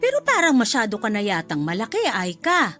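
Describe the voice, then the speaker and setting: An actress playing a middle-aged mother-in-law who is chatty, fussy and quietly critical, in a radio drama. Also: medium pitch, huge pitch swings, brisk, faint music behind. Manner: chatty, fussy, laughs often.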